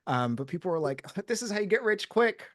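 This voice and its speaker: A man from Brazil, an audiobook narrator, talking in a lively, self-mocking way on a panel. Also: high voice, fairly quick, no room sound, clean studio sound. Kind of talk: panel chat.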